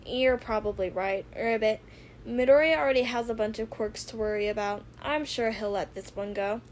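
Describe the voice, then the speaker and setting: A woman's voice: a little high, moderate pace, lightly acted. A woman voicing a blunt, level-headed teenage frog-like girl hero in a fan audio drama, matter-of-fact in manner, with background noise on the recording.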